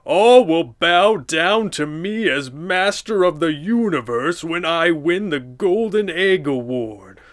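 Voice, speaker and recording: A man doing a slow, boasting cartoon villain. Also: fairly high pitch, expressive, voice pushed up. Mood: gloating.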